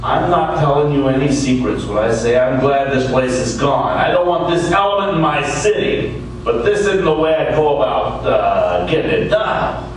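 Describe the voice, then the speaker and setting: A man playing a gruff, suspicious city police officer who badgers and accuses in a comic noir radio play. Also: medium pitch, animated, normal speed, natural voice. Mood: threatening. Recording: echoey room, distant mic, background noise.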